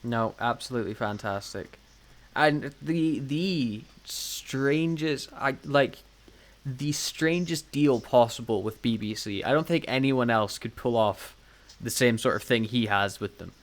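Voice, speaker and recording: A man's voice: medium pitch, measured pace. Wry man bantering on a podcast. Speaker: podcast co-host. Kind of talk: casual banter.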